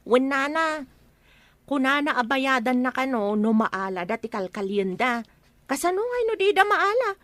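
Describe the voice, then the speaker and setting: A woman's voice: high voice, big pitch swings, moderate pace, fairly bright tone, no echo. A woman with an Ilocano Filipino accent playing a sensible, inquisitive young daughter in a radio comedy drama. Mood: questioning.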